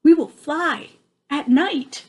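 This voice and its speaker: A woman voicing an eager young girl fruit bat in a storybook, squeaky and excitable. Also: takes it slowly. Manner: excitable, exclamatory.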